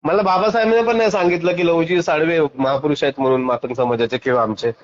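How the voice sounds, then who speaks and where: Fast, high-pitched, combative man on phone. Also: steady tone. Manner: combative, blunt, insistent. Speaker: activist arguing on a phone call. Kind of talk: heated phone argument. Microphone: phone call. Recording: dull lo-fi phone call recording.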